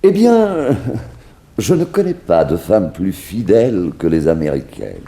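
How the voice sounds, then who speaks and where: An actor playing a flamboyant, self-important Parisian couturier, courteous and theatrical, in a 1960s French radio drama. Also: male voice, low-pitched, huge pitch swings, measured pace, warm tone, full character voice. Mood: grandiose, amused. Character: flamboyant celebrated Parisian couturier, grandiose and eccentric man.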